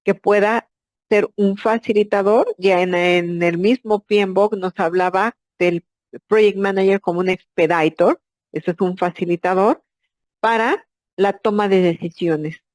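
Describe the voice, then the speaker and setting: A woman's voice: mid-range voice, fairly even intonation, a little slow, a little mellow, no echo. A woman, an architect, giving an explanatory masterclass lecture and asking herself rhetorical questions. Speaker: architect and instructor.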